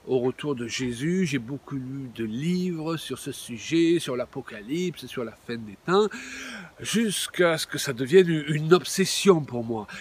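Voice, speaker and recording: A man in his sixties, a pastor, giving an animated video sermon, exclaiming often and pausing with many fillers. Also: on the high side, a little hiss or hum. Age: 60s.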